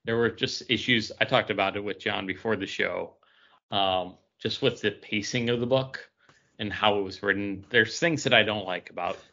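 A man with a fairly low but clearly bright, forward voice talks as a podcast co-host. He gives opinions in casual conversation, and the recording is somewhat dull and band-limited. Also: normal speed. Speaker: podcast co-host reviewing comic books.

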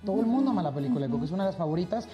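A man's voice: high voice, expressive, very fast, over loud music. A Mexican man with a Mexican accent, a guest singer chatting upbeat in an interview and repeating phrases for emphasis. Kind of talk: casual interview chat.

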